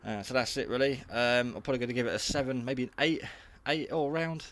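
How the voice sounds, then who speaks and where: A man's voice: medium pitch, quick pace, a bit bright. A man giving a casual, unscripted hobby radio review in a rambling, matter-of-fact, modest way.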